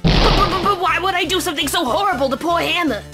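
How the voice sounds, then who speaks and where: High-pitched cranky old lady, ranting. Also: fast talker. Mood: indignant. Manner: ranting, indignant, stammering. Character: cranky, combative, long-winded old woman witness. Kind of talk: amateur anime game fan dub. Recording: loud music behind the voice.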